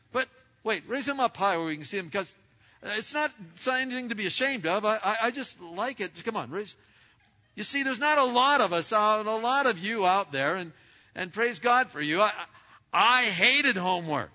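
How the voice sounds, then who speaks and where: A man's voice: sits high, expressive, moderate pace, bright, forceful tone. A middle-aged male pastor preaching a sermon to his congregation in a big, emphatic voice, with many stammered restarts. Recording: lo-fi recording.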